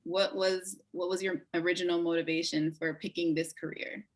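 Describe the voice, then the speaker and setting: A woman interviewing a guest, encouraging and quick with affirmations. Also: on the low side, flat delivery. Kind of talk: interview host.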